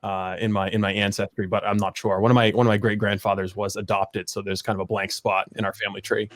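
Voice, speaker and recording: A man from rural Maine talks quickly in a lowish voice, close and dry on the recording. He chats casually as a podcast guest, joking drily at his own expense and swearing freely. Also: little pitch movement, no room sound. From rural Maine, USA. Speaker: podcast guest, a media producer. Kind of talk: casual interview chat, joking.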